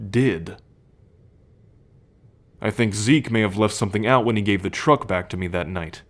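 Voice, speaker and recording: A young man playing a troubled, protective male werewolf in an ASMR roleplay, speaking in a measured, halting, confiding way. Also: lowish voice, close to an everyday voice.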